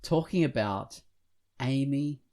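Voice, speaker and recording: A man co-hosting a podcast, chatting and reviewing in an animated, rambling, jokey manner. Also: mid-range voice, slow pace. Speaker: podcast co-host. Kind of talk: casual podcast chat and featured comic review.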